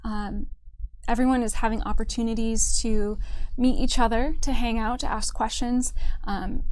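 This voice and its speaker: A woman with a fairly high voice explains event plans as a guest on a casual show. She speaks off the cuff, piecing her explanation together as she goes. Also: fairly even intonation, moderate pace, a little mellow, dry recording, low-quality recording. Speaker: convention programming organizer, guest on the show.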